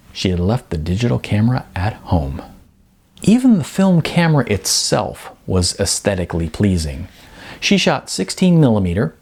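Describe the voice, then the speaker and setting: A man reading a novel as its author, in expressive audiobook narration with deliberate, emphatic phrasing. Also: fairly low pitch, gravelly, husky.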